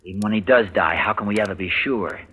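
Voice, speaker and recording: An actor playing a bitter young husband trapped caring for a rich uncle, tense and brooding, flaring into anger, in an old radio drama. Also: male voice, on the low side, quick pace, pushed and bright, breathy edge. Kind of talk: 1940s radio acting. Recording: old radio broadcast with faint hiss.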